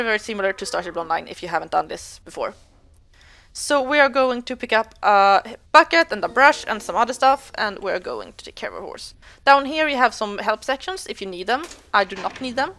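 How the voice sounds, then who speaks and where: A woman's voice: a little high, fairly bright tone. Woman narrating gameplay. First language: Swedish. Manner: chatty, explanatory, self-correcting. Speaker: gaming video host. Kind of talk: let's play walkthrough commentary.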